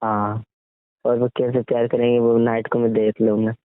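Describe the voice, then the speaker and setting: A man's voice: mid-range voice, monotone, moderate pace, smooth, no room sound. A young man talks in a casual, intimate way, as a boyfriend does on a call. His manner is playful and teasing. The sound is narrow and phone-like, very dry, with no room around it. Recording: muffled, band-limited phone call recording.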